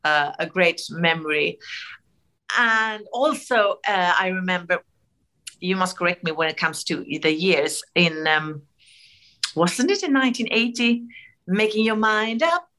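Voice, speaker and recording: A middle-aged Swedish woman with a Swedish accent, telling animated stories in a casual interview, laughing often and full of fillers and restarts. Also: fairly low pitch, measured pace. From Sweden. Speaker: TV host, interview guest.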